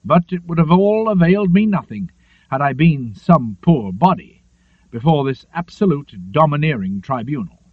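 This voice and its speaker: A man reading a literary seafaring memoir as an audiobook, in a measured, expressive storytelling manner. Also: a little high, dry recording. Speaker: audiobook narrator. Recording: narrow phone-like lo-fi sound.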